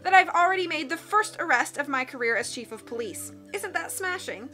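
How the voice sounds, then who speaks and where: A woman's voice: high-pitched, expressive, normal speed, pushed and bright, soft background music. A woman voicing a smug, brash female police chief who announces things proudly and grandly, in a storybook reading.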